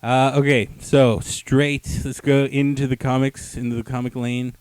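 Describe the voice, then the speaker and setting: A man's voice: medium pitch, flat delivery, unhurried, darkish tone, smooth, no room sound. Man, casual co-host, comic review banter, slangy, jokey, sweary.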